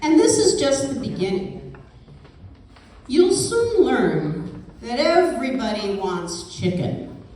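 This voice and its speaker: Very slow, dramatic woman in echoey hall. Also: a little high, huge pitch swings, darkish tone. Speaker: puppet show narrator. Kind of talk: scripted comic storytelling for live puppet show. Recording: echoey hall, distant mic, faint noise.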